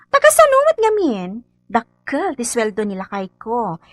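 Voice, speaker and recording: Haughty contractor's wife, radio comedy; high voice, animated swings, close dry sound. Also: female voice, a little slow, no echo. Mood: boastful. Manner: haughty, bragging. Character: boastful, showy wife of a building contractor. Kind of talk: comedy radio drama acting.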